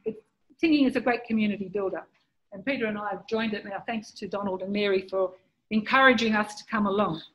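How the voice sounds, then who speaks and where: A woman's voice: mid-range voice, quick pace, fairly bright tone. A woman giving a community history talk over slides, presenting plainly and steadily. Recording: dull lo-fi recording with faint noise.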